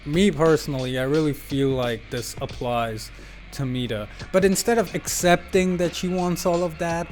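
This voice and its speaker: Higher-voiced man doing casual game commentary. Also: normal speed, a little mellow. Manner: slangy, jokey, emphatic. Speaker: video game commentary YouTuber. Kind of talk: casual, unscripted game analysis monologue.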